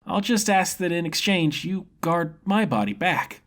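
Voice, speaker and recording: A man's voice: sits high, animated, a little slow, fairly bright tone, voice pushed up. A man voicing a cheerful, innocent young swordsman who talks in a casual, slangy, upbeat way for a fantasy story. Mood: earnest, friendly.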